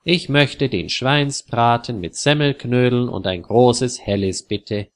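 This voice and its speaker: A man voicing a polite, cheerful male tourist in a slow storybook for language learners. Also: mid-range voice, lightly acted.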